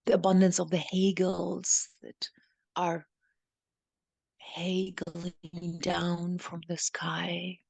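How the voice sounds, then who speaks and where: Slow, creaky-voiced woman guiding a meditation. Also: on the low side, monotone, a little mellow, no echo. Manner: halting, deliberate phrasing with repeated lines. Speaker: meditation guide speaking as a channeled ancient money healer. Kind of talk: guided meditation, channeling monologue.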